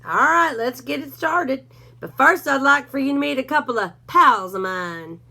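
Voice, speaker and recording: A woman's voice: high voice, lively intonation, moderate pace, vocal fry, sharp tone, pitched above the performer's own voice, heavily acted. Woman reading as a wry, sly ranch cowdog. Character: self-important male cowdog, wisecracking and sly.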